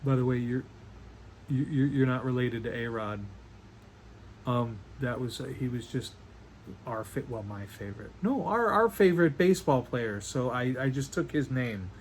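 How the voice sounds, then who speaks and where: A man playing a doting, secretive father in an online play, speaking tenderly and haltingly to his daughter. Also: mid-range voice, animated, slight background noise, natural voice, poor audio. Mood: nervous, halting. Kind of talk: dramatic monologue in an online play.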